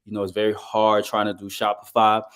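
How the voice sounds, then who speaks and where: A man from Liberia in his thirties talks through his story as an interview guest. His voice sits fairly low, and he speaks in an earnest, measured way with plenty of "um"s. He is heard over a video call. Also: monotone, fairly bright tone, smooth. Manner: earnest, measured, with frequent fillers. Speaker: guest, business coach. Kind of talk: casual interview talk.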